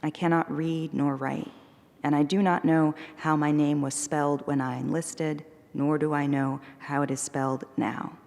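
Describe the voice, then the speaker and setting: A woman poet giving a measured, deliberate reading of her poems at a live event. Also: deep voice, little pitch movement, creaky, a little mellow, long reverb tail. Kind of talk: poetry reading. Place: performing arts center hall.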